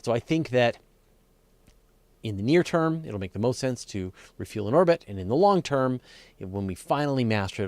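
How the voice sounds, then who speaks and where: A man's voice: lowish voice, a little mellow, no room sound. A man hosting a live question-and-answer show, explaining science casually and off the cuff with emphatic, think-aloud delivery.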